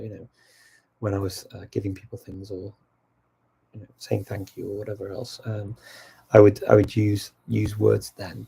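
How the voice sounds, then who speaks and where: A man hosting a casual live Q&A, answering viewer questions with relaxed, reflective advice. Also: lowish voice, vocal fry, breathy edge.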